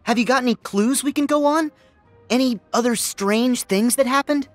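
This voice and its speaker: A man's voice: very high-pitched, moderate pace, no room sound, soft background music. An actor playing an upbeat teenage boy hero in a video game, earnest and youthful. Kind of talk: animated video game dialogue.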